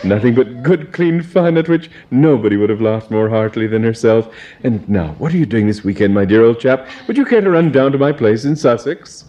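A man's voice: mid-range voice, lively intonation, fairly quick, warm tone, muffled recording, close to an everyday voice. A man voicing a hearty, fawning middle-aged baronet who gushes with effusive, blustery cheer. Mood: hearty, gushing.

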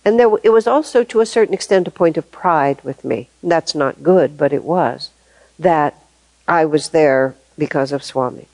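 Older woman teacher, lowish mellow voice, thoughtful and measured, dry close sound. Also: no echo, poor audio. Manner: thoughtful, measured, with frequent restarts. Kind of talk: reflective spoken talk.

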